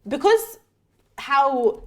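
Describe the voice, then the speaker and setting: A woman's voice: high-pitched, big pitch swings, drawn-out words. A young woman from London co-hosting a casual talk show, frank and chatty, acting out other people's lines as she talks. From United Kingdom. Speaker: co-host. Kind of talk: casual chat.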